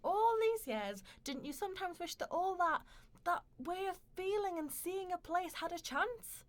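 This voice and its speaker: An actress as an ambitious young woman in a radio drama, the proud other woman. Her voice is high and swings widely in pitch. She argues with defiant passion and a cutting edge. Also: animated, a little slow. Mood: impassioned. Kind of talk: radio play dialogue.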